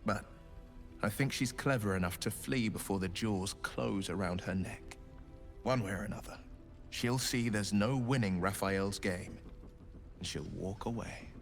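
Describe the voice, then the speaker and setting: An actor playing an eloquent, brooding gentleman adventurer in a video game, speaking in a florid, earnest, theatrical manner. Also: on the low side, a little slow, creaky, soft background music. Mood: concerned, grave. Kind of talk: dramatic video game dialogue.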